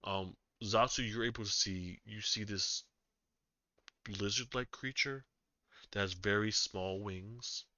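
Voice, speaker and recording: Low, bright-edged male game master, halting delivery, dry, dull lo-fi mic. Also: steady tone, dry recording. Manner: halting, many ums and restarts. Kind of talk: narrating a tabletop game over voice chat.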